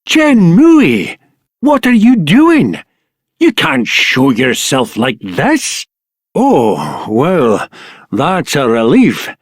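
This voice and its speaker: A man's voice: fairly high pitch, wildly swooping pitch, very slow, no echo, clean studio sound, exaggerated voice. A man playing a mild, apologetic, easygoing fellow in a video game. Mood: surprised, relieved.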